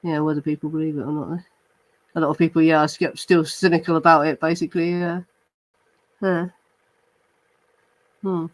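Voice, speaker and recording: A man's voice: on the high side, flat delivery, normal speed, pauses often, no echo, rough recording. A man hosting a true crime show, chatting casually with many fillers and restarts, and now and then reading report passages aloud. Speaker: true crime podcast host. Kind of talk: casual chat reacting to live comments.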